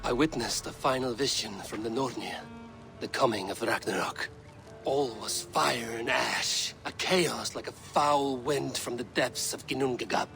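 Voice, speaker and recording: An actor playing a grave, resolute Viking warrior in a video game, speaking in a solemn, measured, formal way. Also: male voice, mid-range voice, creaky, fairly bright tone. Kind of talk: dramatic video game cutscene acting. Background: music.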